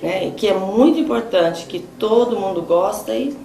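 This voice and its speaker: A woman's voice: mid-range voice, expressive, moderate pace, gravelly, mellow. A middle-aged Brazilian woman giving an informal spoken testimonial. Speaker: theatre performer giving a tribute. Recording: echoey room, faint noise.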